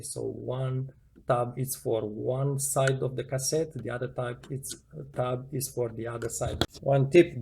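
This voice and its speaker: Gravelly man explaining casually, noisy recording. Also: medium pitch, a little mellow. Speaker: tutorial presenter. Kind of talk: casual hands-on demonstration, unscripted. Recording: clearly noisy home recording with clicks.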